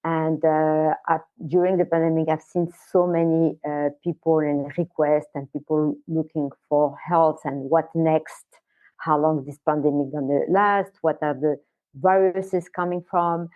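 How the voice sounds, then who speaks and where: An older woman from Morocco, a guest on an interview podcast, talking in a halting way with many fillers. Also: low-pitched, steady tone. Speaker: guest, wellness center founder. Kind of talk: casual interview talk. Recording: tinny phone-like line.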